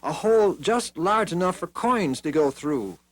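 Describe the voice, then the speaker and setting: Man voicing an earnest young boy king, raised pitch. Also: lively intonation, unhurried. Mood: earnest, commanding. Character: earnest, resourceful boy king giving orders.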